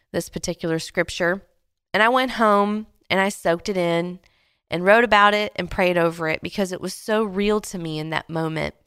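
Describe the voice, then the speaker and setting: A woman in her late twenties hosting a devotional podcast, speaking gently and earnestly with soft pauses, her voice a little stuffy from a cold. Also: on the low side, smooth, no room sound, clean studio sound. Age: late 20s. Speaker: podcast host. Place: Houston, Texas.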